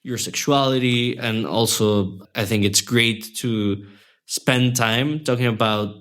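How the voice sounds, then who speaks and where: A young man whose first language is Spanish talks as a guest in a relaxed interview. He stays friendly and thoughtful, often restarting his sentences as he works through an idea. His pitch sits right in the middle for a man. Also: takes it slowly. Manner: friendly and reflective, with many restarts and fillers. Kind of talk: casual interview conversation.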